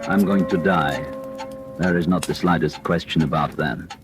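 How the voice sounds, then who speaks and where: An actor in 1940s radio drama voicing a shot, dying husband who narrates his fate in a grim, measured way. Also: sits low, fairly even intonation, music in the background, natural voice. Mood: resigned. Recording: noisy old radio broadcast.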